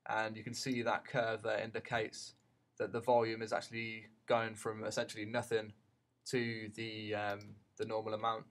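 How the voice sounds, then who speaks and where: A man giving an unscripted tutorial as a teacher, haltingly thinking aloud as he goes. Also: fairly low pitch, completely flat delivery, a bit bright.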